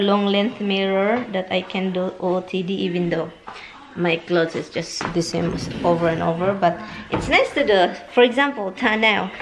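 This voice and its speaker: A woman's voice: mid-range voice, a little hiss or hum, rough recording. A woman in her thirties from the Philippines with a Filipino accent, chatting casually in a vlog, rambling and slipping in Filipino words, filmed on a camera held at arm's length at home and in her car. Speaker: vlogger. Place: home, then inside a car. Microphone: handheld camera at arm's length.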